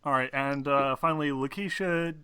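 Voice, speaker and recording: A man's voice: mid-range voice, normal speed, pushed and bright, smooth, dry recording, studio quality. A man running a tabletop game as game master, casual and teasing, with plenty of swearing.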